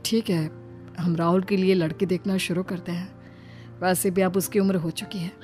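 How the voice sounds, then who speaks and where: A woman voicing a mild middle-aged husband in a cartoon moral story, calmly agreeable. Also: on the low side, normal speed, lightly acted. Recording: music under the voice.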